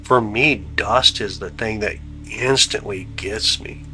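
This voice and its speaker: A man's voice: fairly low pitch, slow pace, bright, forceful tone, faint music behind. A man hosting a live telescope stream, chatting casually with viewers in a laid-back, slangy way, his voice stuffed up from congestion. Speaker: livestream host of telescope views.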